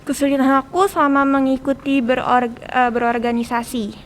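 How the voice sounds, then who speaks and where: A woman's voice: sits high, flat delivery, moderate pace. A teenage girl with a Jakarta Indonesian accent speaking casually as a student guest on a podcast. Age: teens. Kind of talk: casual conversational interview. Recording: noticeable background noise and ambience.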